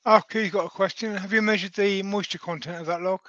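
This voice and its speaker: A man's voice: sits high, steady tone, fast, a bit bright, slight background noise. A man co-hosting a live stream, reading out viewer comments in an easygoing, friendly way over a muffled remote line. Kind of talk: casual chat.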